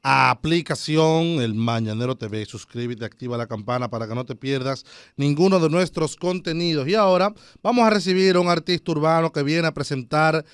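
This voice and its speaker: A man hosting a radio show, upbeat and joking, talking on a boom mic in a studio. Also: a little fast, clean tone. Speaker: radio host. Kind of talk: casual radio interview.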